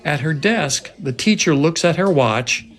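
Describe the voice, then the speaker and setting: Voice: mid-range voice, lively intonation, a little slow. A narrator reading scripted stage directions aloud at a film table read.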